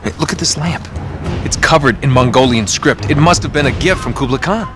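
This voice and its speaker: An actor playing a sarcastic, sceptical American treasure hunter in a video game cutscene. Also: male voice, mid-range voice, brisk, music in the background, close to an everyday voice. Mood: intrigued, explaining. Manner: sarcastic, wisecracking.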